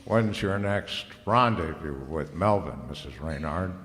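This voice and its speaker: A man playing a wry, laconic private detective with a deadpan, wisecracking delivery in an old-time radio drama. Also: extremely low pitch, measured pace, big-room sound, full character voice. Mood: deadpan, probing. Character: wry, laconic male private detective narrating his case.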